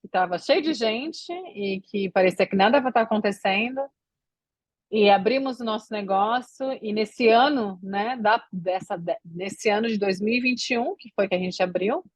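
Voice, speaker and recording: A woman's voice: fairly high pitch, dry recording. A woman from Brazil, a podcast guest, chatting casually and rambling with many fillers and restarts. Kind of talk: casual interview chat.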